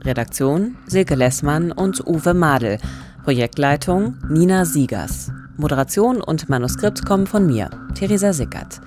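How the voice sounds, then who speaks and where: A woman's voice: low-pitched, lively intonation, measured pace, music in the background. Engaged woman host in a studio. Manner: engaged, asks questions, reacts with surprise. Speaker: podcast host. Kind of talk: scripted podcast hosting. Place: small radio studio. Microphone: studio condenser mic, headphones.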